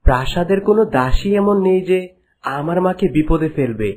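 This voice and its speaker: A man's voice: on the high side, expressive, normal speed, darkish tone, close to an everyday voice. Man playing a stern, suspicious young prince. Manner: firm, questioning. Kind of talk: cartoon dialogue.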